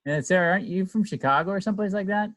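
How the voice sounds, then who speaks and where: A man's voice: high-pitched, racing, fairly bright tone. A man hosting a casual video call. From Minnesota. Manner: friendly, joking, asks each person in turn.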